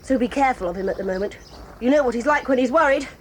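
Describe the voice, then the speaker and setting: An actress voicing a proud, quick-tempered tomboy girl in an audio drama, talking in a brisk, bossy and eager way. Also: fairly high pitch, a little hiss or hum, close to an everyday voice. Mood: excited, lively. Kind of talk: 1980s audio drama acting.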